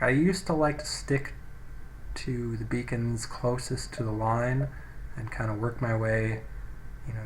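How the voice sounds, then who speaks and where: Offhand male gamer on a noisy cheap mic. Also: medium pitch. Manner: offhand, thinking aloud. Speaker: gaming video host. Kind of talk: casual let's play commentary. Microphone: cheap low-quality microphone. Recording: poor microphone, faint background noise.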